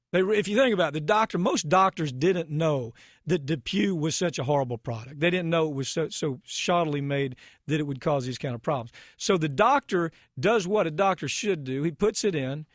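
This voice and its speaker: A man's voice: on the high side, animated, moderate pace, dry recording, studio quality. An American man hosting a radio talk show, speaking emphatically and indignantly with rhetorical questions.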